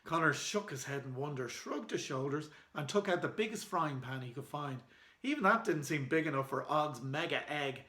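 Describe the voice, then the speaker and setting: A man's voice: a little high, animated, normal speed, bright, forceful tone. An Irish man reading aloud in a children's storytime style, as an author and illustrator. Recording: echoey room.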